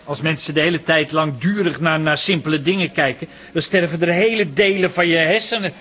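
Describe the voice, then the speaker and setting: A man's voice: fairly high pitch, a little fast, a bit bright, close to an everyday voice. An actor playing a cheeky, wisecracking family man in a Dutch comedy radio play. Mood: joking.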